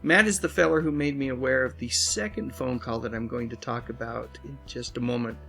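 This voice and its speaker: A man hosting and narrating a scripted video essay, with earnest, dramatic phrasing and drawn-out endings. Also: mid-range voice, creaky, a bit bright. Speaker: host, pop culture commentator. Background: music bed.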